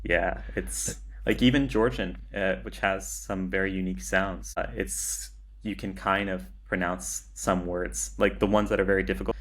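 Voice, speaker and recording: Male interview guest, low and flat in pitch, measured, hesitant, matter-of-fact, conversational. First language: English. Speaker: podcast guest, agency founder.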